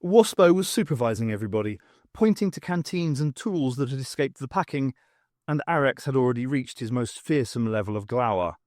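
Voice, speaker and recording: A man reading fiction aloud as an audiobook narrator, in an expressive storytelling style. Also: medium pitch, fairly quick, no room sound, clean studio sound.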